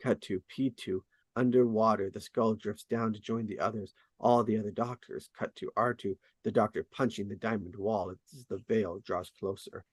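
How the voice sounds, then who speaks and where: A man's voice: fairly low pitch, steady tone, moderate pace, husky, no echo. A man reading out scene directions as scripted narration at a drama table read. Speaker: reader of stage directions.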